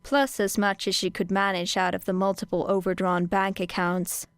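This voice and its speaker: A woman narrates fiction in a medium-pitched voice with a smooth, clean tone. She reads from a script as an audiobook narrator, close to the mic in a dry space. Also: level tone, moderate pace, no echo. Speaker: audiobook reader.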